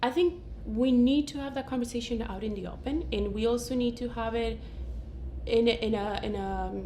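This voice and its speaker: A woman's voice: a little high, normal speed, dark tone, roomy sound, slight background noise. A woman from Colombia, a guest on a talk show, chatting candidly with many fillers and restarts and frequent giggles. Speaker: guest sex blogger. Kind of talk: casual talk show chat. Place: studio set at a table.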